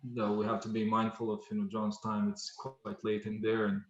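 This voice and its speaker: A man's voice: fairly low pitch, monotone, normal speed. Guy hosting a club event on zoom, kinda hesitant and formal, lots of uhs, muffled roomy sound. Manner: formal, hesitant, many fillers. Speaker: student club host. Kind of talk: event introduction. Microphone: video call. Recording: echoey room, dull lo-fi call audio.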